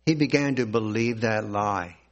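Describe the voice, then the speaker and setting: A man preaching a sermon, reading scripture aloud and explaining it in a deliberate, earnest way. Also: fairly low pitch.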